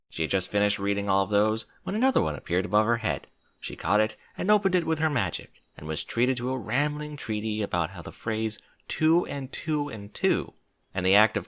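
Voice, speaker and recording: A man's voice: on the low side, bright, forceful tone. A man narrating a scripted story expressively, as a reader of fan fiction.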